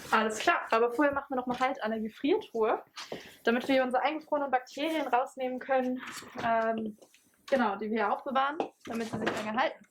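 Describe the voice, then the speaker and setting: A woman's voice: sits high, fast talker. A woman presenting as a scientist in an educational film, explaining things in semi-scripted dialogue. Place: laboratory. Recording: faint background noise with clicks.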